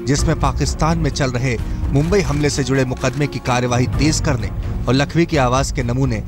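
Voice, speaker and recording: A man's voice: medium pitch, steady tone, a little fast. A man with an Indian accent reading a scripted news report as a voice-over, in a dramatic, rhetorical manner. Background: music bed.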